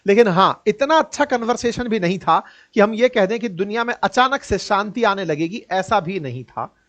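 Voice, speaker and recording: A man's voice: high voice, lively intonation, normal speed, fairly bright tone. An Indian man giving informal, colloquial news commentary with a mocking edge, often putting rhetorical questions straight to his listeners.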